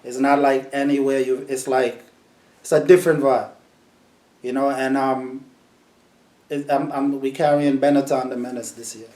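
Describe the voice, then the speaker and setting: Relaxed New man, echoey room. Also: medium pitch, normal speed, pauses often. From New York. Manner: relaxed, storytelling, repeats words. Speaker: sound system selector. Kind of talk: casual interview answers.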